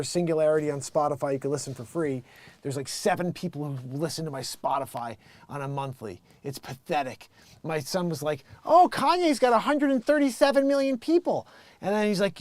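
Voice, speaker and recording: A middle-aged American man hosting a casual livestream, rambling emphatically and excitedly in an unscripted monologue, often acting out bits of quoted conversation. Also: fairly high pitch, no echo. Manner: animated, rambling, emphatic, quotes himself and others. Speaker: live music show host and musician.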